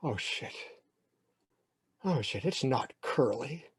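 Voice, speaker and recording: A man telling a story as an anxious, lonely twelve-year-old boy, in halting, repetitive first-person thoughts. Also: a little high, darkish tone, no echo. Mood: panicked, pleading. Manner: halting, repetitive inner monologue.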